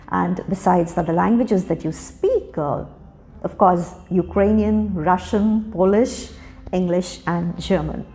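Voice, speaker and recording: A woman hosting an interview show, speaking with a gravelly edge. She introduces her guests in a formal way and asks them questions, with faint music playing behind her. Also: fairly low pitch, darkish tone. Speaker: interview host.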